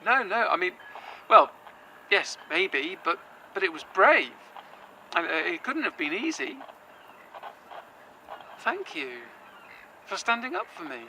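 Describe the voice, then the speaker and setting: A man's voice: high voice, very animated, slow, long pauses, sharp tone, slight background noise, heavily acted, low-quality recording. An actor playing a nervous, earnest young man who stumbles over his words. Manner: nervous, earnest, hesitant with fillers. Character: anxious, hopeful young man, possessed. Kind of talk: audio drama acting.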